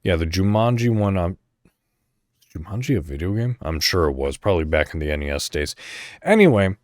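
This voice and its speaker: A man hosting a podcast solo, chatting casually and thinking out loud in a rambling way. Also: sits low, big pitch swings, brisk, no room sound, clean studio sound. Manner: rambling, thinking aloud, mild swearing. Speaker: podcast host.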